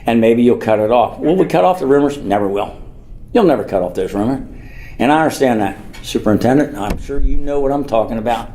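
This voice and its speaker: A man's voice: medium pitch, expressive, a little fast, warm tone, slight background noise. An older man from the United States giving an informal public speech as a mayor, rambling and earnest, repeating phrases for emphasis. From Norwood, United States.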